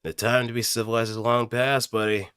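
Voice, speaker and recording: A man's voice: medium pitch, little pitch movement, a little fast, fairly bright tone, clean tone, dry recording, clean studio sound, lightly acted. A man voicing a cold, threatening fighter from an anime robot game, delivering stern taunts. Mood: cold threat.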